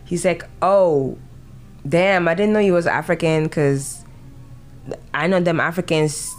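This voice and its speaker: A woman from Ghana hosts a relaxed podcast conversation. Her voice sits a little low, and she tells her stories with animated asides and quoted lines, often laughing. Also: unhurried, faint music behind. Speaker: podcast host. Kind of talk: casual chat.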